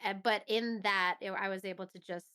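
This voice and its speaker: A woman's voice: mid-range voice, fairly even intonation, brisk, pushed and bright, smooth. A woman speaking as an interview guest in a casual, enthusiastic and reflective conversational style. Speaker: interview guest, voice actress and performer.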